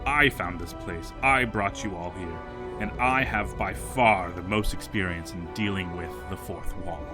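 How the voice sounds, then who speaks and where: A man's voice: low voice, measured pace. A man playing a proud, menacing vampire count in an audio drama, speaking in a formal, grandiose and imperious manner. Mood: scornful. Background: music.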